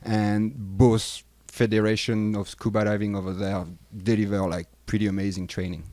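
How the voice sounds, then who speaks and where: A man from France with a French accent, a guest diving instructor explaining things in a relaxed way. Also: lowish voice, normal speed, no echo. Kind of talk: casual interview.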